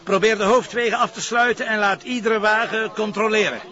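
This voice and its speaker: An actor playing a wry, gruff police commissioner in a TV crime drama, with a Flemish accent and a dry, sardonic way of talking. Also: male voice, sits high, fairly even intonation, a little fast, bright, forceful tone, dull audio. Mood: urgent, commanding. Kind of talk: naturalistic TV drama dialogue.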